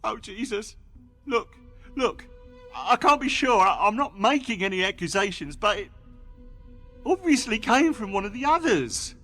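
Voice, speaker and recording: A man playing a defensive captive office worker who pleads innocence and shifts blame haltingly in a radio play. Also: high voice, big pitch swings, sharp tone, soft background music, heavily acted. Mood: nervous, placating.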